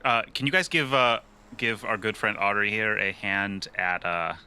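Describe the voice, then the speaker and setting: A man playing a tabletop roleplaying game, improvising casually in halting, backtracking phrases. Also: lowish voice, sharp tone, clear, resonant tone.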